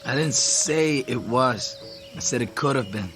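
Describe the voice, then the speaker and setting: A wry young American infantryman, played by a man, sceptical and colloquial. He has a mid-pitched voice in a radio drama, with clear music behind. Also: lively intonation, a little slow, natural voice.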